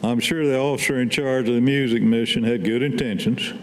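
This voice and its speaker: A man's voice: mid-range voice, fairly even intonation, a little fast. An older man reading his writing aloud at a podium in a staged poetry reading. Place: indoor meeting room at a podium. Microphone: podium gooseneck microphone. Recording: echoey hall, faint room noise.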